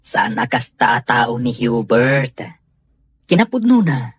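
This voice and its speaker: A man playing a calm, candid young gentleman in an Ilocano radio drama, with an Ilocano accent. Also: medium pitch, takes it slowly, husky, full character voice. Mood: calm, assuring. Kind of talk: Filipino radio drama acting.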